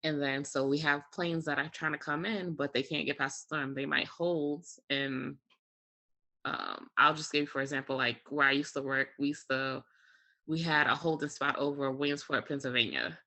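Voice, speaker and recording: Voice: medium pitch, steady tone, normal speed, sharp tone. An air traffic controller talking casually as an interview guest, explaining things step by step with examples. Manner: explains step by step with examples, frequent "you know" and "like".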